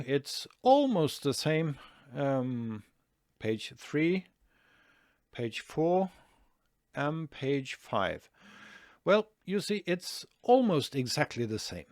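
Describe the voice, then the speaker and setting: A man's voice: fairly high pitch, dry recording, clean studio sound. A Norwegian man talking through a screen-share tutorial, hesitant, with many fillers and restarts. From Norway. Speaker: online marketing tutor.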